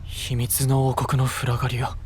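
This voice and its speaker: A man's voice: mid-range voice, monotone, normal speed, over loud music, natural voice. An actor playing an earnest, brave but self-doubting young male knight in a Japanese fantasy voice drama. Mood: serious, probing. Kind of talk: Japanese anime-style voice drama.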